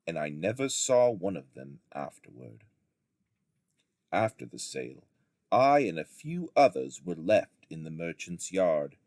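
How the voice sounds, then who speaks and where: A man reading a story aloud like a teacher to children, in measured, deliberate phrases with dramatic emphasis. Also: on the low side, a little mellow, no room sound, clean studio sound. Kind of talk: expressive storybook narration.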